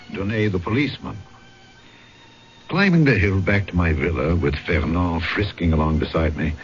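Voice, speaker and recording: Detective, lowish voice, very animated delivery, shrewd and polite, old radio drama. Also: male voice. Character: small dapper French policeman, shrewd, polite, conscience-torn. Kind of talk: old-time radio drama acting and narration. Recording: dull old radio recording with music.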